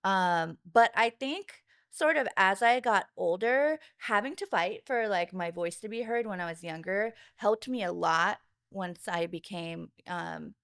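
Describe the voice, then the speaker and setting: A woman's voice: medium pitch, measured pace, few pauses, a bit bright, no echo, clean studio sound. A woman speaking casually as a guest on a podcast interview. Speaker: podcast guest, video director and producer.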